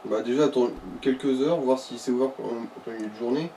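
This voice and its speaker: A man's voice: medium pitch, brisk, dark tone. A man chatting casually as co-commentator of a video game, giving dry, corrective advice to the player. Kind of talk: casual gaming chat. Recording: distant mic with faint noise.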